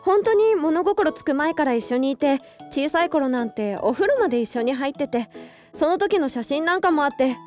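Earnest high-voiced young woman. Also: lively intonation, moderate pace, a little mellow, faint music behind. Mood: awkward, placating. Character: earnest young woman, hiding love for her childhood friend. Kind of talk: Japanese radio drama acting.

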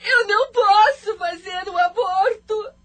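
A woman's voice: extremely high pitch, slow, background noise. An actress playing a frightened, anguished expectant mother in a melodramatic radio drama, emotional and exclamatory. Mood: panicked, sobbing. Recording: radio drama with music behind. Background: music.

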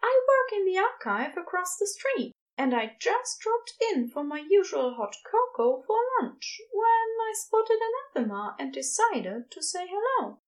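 Woman voicing a high, fussy, polite gentleman. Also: big pitch swings, a little slow, few pauses, exaggerated voice, rough recording. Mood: polite, gushing. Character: prim, nervous, gushing soft-hearted gentleman archivist.

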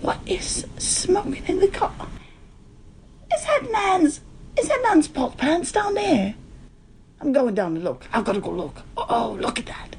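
A woman's voice: high voice, animated, normal speed, darkish tone, a little hiss or hum. A woman voicing a greedy, cheeky little girl in a nightgown, who talks in a breathless, giggly way and swears. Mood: nervous, curious.

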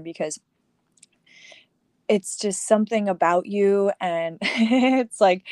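A woman's voice: on the low side, dry recording, studio quality. A woman chatting as a podcast co-host, speaking effusively and generously with her praise. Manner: warm and effusive, praising, laughing. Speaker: podcast co-host, yoga teacher. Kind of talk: casual chat.

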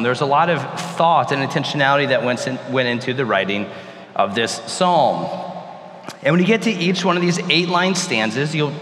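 A hoarse man, a pastor who has lost his voice, preaching a sermon in a conversational way with restarts. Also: medium pitch, fairly bright tone. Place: hot church sanctuary. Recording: roomy hall with faint noise.

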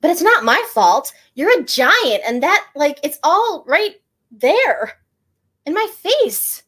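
A woman's voice: sits high, big pitch swings, slow pace, fairly bright tone, full character voice. A woman reading aloud as a dry-witted young woman who teases. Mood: playful. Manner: dry, teasing.

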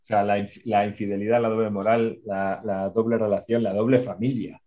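Male panelist, Spain accent, lowish voice, repetitive eager casual talk, slightly dull audio. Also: little pitch movement, fairly quick. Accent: European Spanish. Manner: repeats words, stumbling and restarting eagerly. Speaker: panelist in a film discussion. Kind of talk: casual chat.

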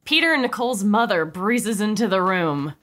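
A woman's voice: mid-range voice, lively intonation, normal speed, fairly bright tone. A woman reading stage directions as narrator of a comedy table read.

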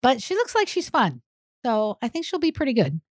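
A woman's voice: fairly high pitch, huge pitch swings, fairly quick, no echo, studio quality. A woman co-hosting a podcast, chatting casually about television shows. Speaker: podcast co-host. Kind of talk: casual TV recap chat.

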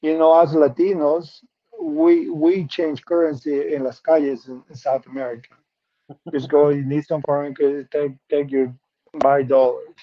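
A man's voice: on the high side, steady tone, normal speed. A middle-aged man from Peru with a Peruvian Spanish accent, a native Spanish speaker, earnestly telling his life story as an interview guest, often restarting and repeating words. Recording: muffled lo-fi audio.

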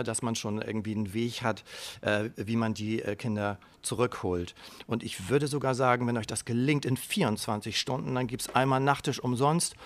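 A man's voice: medium pitch, lightly acted. A man acting as a friendly school headmaster in a radio play. He talks in a wordy, colloquial way and often hesitates, sounding cautious and slightly worried. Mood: encouraging, pressing.

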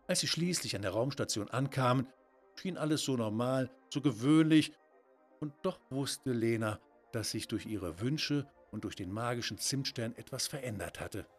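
A man narrating a scripted children's story in a dramatic, wonder-filled way. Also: mid-range voice.

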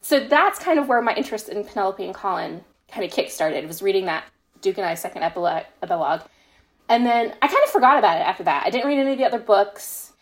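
A woman's voice: medium pitch, expressive, fast. Gushing woman in casual podcast chat. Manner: gushing, emphatic enthusiasm. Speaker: interviewed fan fiction author.

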